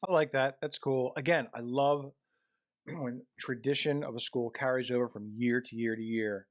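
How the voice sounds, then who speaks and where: A man's voice: medium pitch, steady tone, moderate pace. A man hosting a reaction show, chatting casually with many fillers and open enthusiasm. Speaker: host reacting to a school video.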